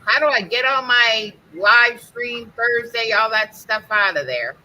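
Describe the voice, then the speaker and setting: A woman hosting a casual live stream video chat, chatty and quick to exclaim. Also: a little high, pushed and bright, rough recording.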